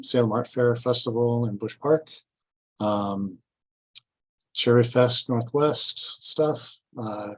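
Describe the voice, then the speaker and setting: A man giving an informational presentation at a public meeting, explaining things step by step in a halting way. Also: medium pitch, poor audio. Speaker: city staff presenter at a grant orientation.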